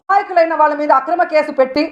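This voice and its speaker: Woman declaiming politics super fast, echoey muffled audio. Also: high-pitched. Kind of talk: political speech. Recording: echoey, dull, very noisy clip.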